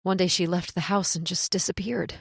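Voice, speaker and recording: A woman's voice: fairly low pitch, steady tone, a little fast, vocal fry, slightly rough, no echo, studio quality. An actress playing a fatalistic, tearless woman lost in prehistoric time, grave and dramatic in old-time radio horror style. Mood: weary, resigned.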